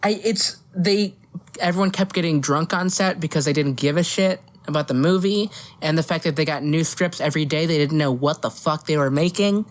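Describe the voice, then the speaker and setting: Female podcast host, lower-pitched, blunt and sweary, casual conversational delivery. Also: brisk. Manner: blunt, profane. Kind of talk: casual chat about movies.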